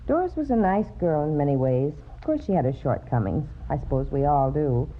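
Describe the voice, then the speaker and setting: A woman's voice: low voice, very animated, brisk. A woman playing a prim, gossipy boarding house landlady in a radio drama, fussy, precise and disapproving. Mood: prim, chatty. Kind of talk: old-time radio drama acting. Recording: dull, faintly noisy vintage radio recording.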